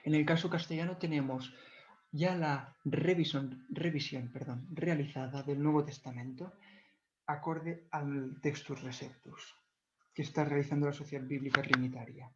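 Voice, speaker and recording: Devout Catalan-native man lecturing slowly. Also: fairly high pitch, fairly even intonation, darkish tone, slight background noise. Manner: measured, devout, corrects himself often. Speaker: video lecturer. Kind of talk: explanatory talk on church history. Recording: dull lo-fi recording.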